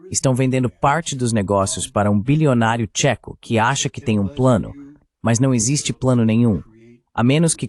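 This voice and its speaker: A man's voice: medium pitch, darkish tone. A man with a Brazilian accent gives dubbed political commentary in an emphatic, repetitive way. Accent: Brazilian Portuguese. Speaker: guest commentator.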